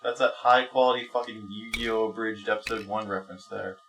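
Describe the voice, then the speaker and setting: A man rambles casually as he plays a game, with music clearly behind him. His talk is halting, circling and peppered with swearing, in the loose style of a let's play host. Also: lowish voice, little pitch movement, far from the mic. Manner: foul-mouthed, rambling, halting. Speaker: gaming video host.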